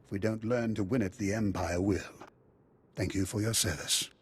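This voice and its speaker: An actor playing a measured, diplomatic military commander in a video game, with a husky voice. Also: male voice, on the low side, fairly even intonation, a little hiss or hum, lightly acted. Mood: earnest, grave.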